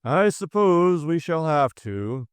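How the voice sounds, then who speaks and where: Man playing an unflappable, unhurried police superintendent. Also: on the high side, sing-song, clear, resonant tone, dry recording, voice pushed up. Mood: resigned. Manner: easy, reassuring, unhurried. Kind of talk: audiobook character acting.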